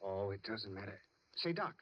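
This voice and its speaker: A man's voice: fairly low pitch, lively intonation, normal speed, fairly bright tone, slight background noise. An actor playing an injured, desperate man, urgent and anxious, in a 1940s radio style. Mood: urgent, thinking. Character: injured, desperate male hero trying to think. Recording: muffled 1940s radio recording.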